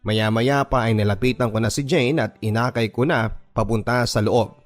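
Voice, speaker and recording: A man's voice: mid-range voice, normal speed. A man with a Filipino accent reading a first-person story as dramatic scripted narration for a radio drama. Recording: music bed under the voice. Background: background music.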